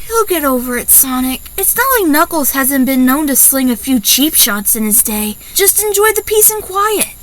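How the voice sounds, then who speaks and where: A woman's voice: sits high, expressive, moderate pace, few pauses, a bit bright, no room sound, low-quality recording. A woman playing a young boy fox sidekick, easygoing but easily scared, in an audio drama. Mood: reassuring.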